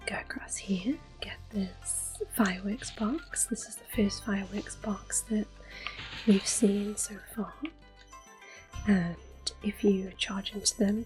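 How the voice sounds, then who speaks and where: Whispering woman, soft ASMR gameplay. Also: medium pitch. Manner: soft whispering, gentle, hesitant with ums. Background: faint game music and controller clicks.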